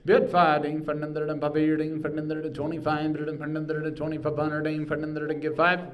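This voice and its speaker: A male auctioneer with a voice pitched a little above average runs a live sale. He chants bids in rhythmic, repeating patterns padded with filler words. When he addresses bidders, he lays things out in plain, informal speech. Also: completely flat delivery, moderate pace.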